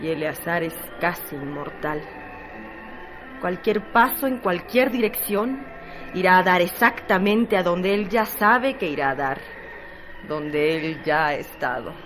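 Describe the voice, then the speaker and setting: A woman's voice: fairly low pitch, lively intonation, a little slow, soft background music, low-quality recording. A woman narrating a scripted radio drama in a solemn, literary style.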